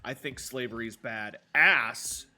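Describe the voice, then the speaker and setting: Male game streamer, congested nose, rambling sarcastic banter, bright forceful tone. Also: medium pitch, a little slow. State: stuffed-up nose. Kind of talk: live gameplay stream, reading chat.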